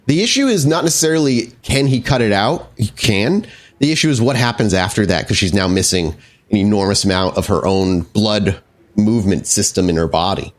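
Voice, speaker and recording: Man, game storyteller, lowish pitch, very lively intonation, descriptive and explanatory, improvised narration. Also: fairly quick. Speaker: storyteller running a tabletop game.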